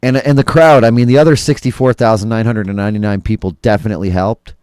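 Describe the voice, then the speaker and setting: A man hosting a casual podcast chat. His voice is going hoarse, and he talks in an excitable, rambling, profane way. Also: fairly low pitch, fast, no echo, clean studio sound. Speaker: podcast host.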